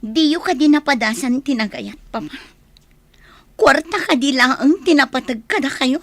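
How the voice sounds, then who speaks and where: A woman's voice: high-pitched, animated, moderate pace. A woman playing an earnest, stubborn young adult daughter in a Filipino radio drama. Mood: protesting.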